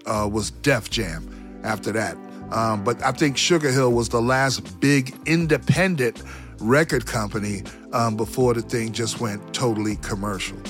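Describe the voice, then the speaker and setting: A man's voice: mid-range voice, a bit bright. A middle-aged or older man, a musician, looking back reflectively as a guest in an interview. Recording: music playing behind the voice.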